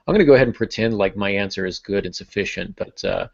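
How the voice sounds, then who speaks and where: A man's voice: on the low side, fast talker, gravelly, lo-fi sound. A man hosting a writing podcast in casual talk, with a self-deprecating, joking manner. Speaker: podcast host and fiction writer.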